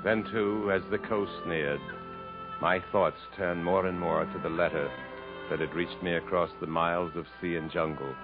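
A deep-voiced, earnest, world-weary Englishman. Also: fairly even intonation. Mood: wistful, reflective. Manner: dramatic, measured, earnest. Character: earnest, world-weary Englishman narrating his jungle journey. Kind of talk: 1950s radio drama acting and narration. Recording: muffled 1950s radio broadcast, faint music.

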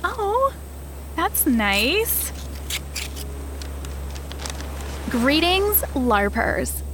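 An actress playing an earnest, spacey hippie contestant who rambles and gushes in a naturalistic audio drama. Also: female voice, sits high, big pitch swings, extremely slow, background noise, heavily acted. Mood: excited reading. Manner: rambling, filler-heavy, gushing. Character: spacey, earnest, easily awed hippie reality-show contestant woman.

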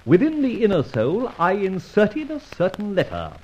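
An actor playing a suave, mocking gentleman adventurer in a vintage radio serial, with a breezily polite, sardonic manner. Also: high-pitched, huge pitch swings, moderate pace, dull audio. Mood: smug, relaxed. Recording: noisy old radio recording.

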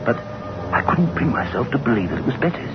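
A man's voice: mid-range voice, sing-song, fast talker, over music, lightly acted. A man playing a frightened, guilt-ridden husband in an old radio horror drama, agitated, defensive and stammering. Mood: haunted, dread. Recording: noisy, muffled old radio recording.

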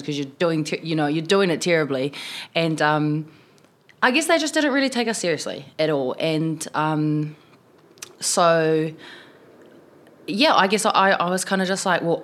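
A woman in her twenties from New Zealand with a New Zealand accent, a city councillor chatting casually in a podcast interview, full of "um" and "like". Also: deep voice. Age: 20s. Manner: chatty, many fillers like "um" and "like".

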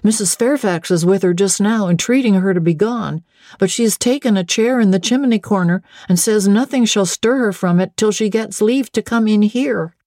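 A flustered young manservant from a classic novel, as read by a woman, pitched up and earnest. He reports to his betters with plain-spoken, servile insistence. The sound is close and dry. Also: normal speed, mellow, no room sound, lightly acted. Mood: flustered, insistent. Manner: earnest, servile, rustic turns of phrase.

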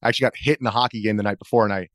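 A man in his thirties with a fairly low voice talks as a guest in a casual interview. He feels his way through answers in loose, wandering sentences, recorded close and dry. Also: fairly even intonation, rapid-fire, fairly bright tone, no echo. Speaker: interview guest, video journalist.

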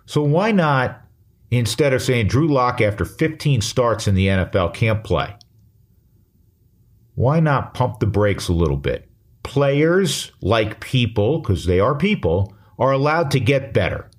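An American man giving an opinion monologue as a sports radio host, measured and emphatic, often posing questions and answering them himself. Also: mid-range voice, sing-song.

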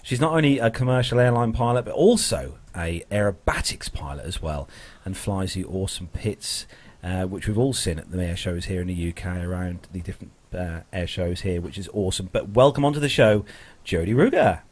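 Male UK stream host, lower pitch, chatty and loose. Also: animated, brisk, darkish tone, breathy edge. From United Kingdom. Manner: chatty, introduces guests, many fillers. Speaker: show host. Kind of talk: casual live-stream chat.

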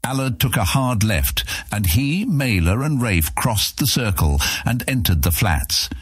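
A man narrates a fantasy tale in a very deep, husky voice. His delivery is scripted, measured and dramatic. The sound is close and very dry. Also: very animated, no echo. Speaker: audiobook narrator.

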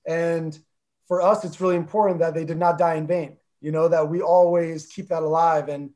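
A man with a New Jersey accent, who spoke Armenian first, talking earnestly as an interview guest and pausing often with fillers. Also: fairly high pitch, little pitch movement, a little mellow. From New Jersey, USA. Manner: earnest, thoughtful, with many fillers and restarts. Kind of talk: casual interview talk.

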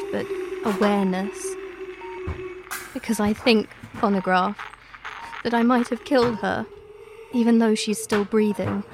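A woman's voice: mid-range voice, poor audio. A woman playing an anguished, guilt-ridden ghost, speaking solemn, formal monologue in an audio drama. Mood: haunted, reflective. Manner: solemn, deliberate, old-fashioned literary phrasing.

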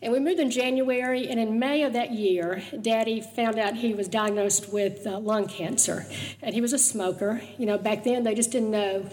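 Older woman, slightly raspy voice. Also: on the high side, fairly even intonation, moderate pace, a little mellow, in a large hall, low-quality recording. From Mississippi, US South. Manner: chatty, storytelling, laughs easily. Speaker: guest speaker sharing her testimony. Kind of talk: personal testimony talk.

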